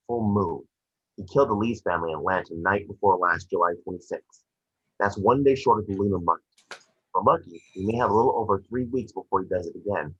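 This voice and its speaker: A man's voice: low-pitched, fairly bright tone, breathy edge. A man playing a calculating, plain-spoken crime investigator boss in a script table read, insistent in his delivery. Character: middle-aged male crime investigator boss, persuasive and calculating. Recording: muffled, roomy video-call audio.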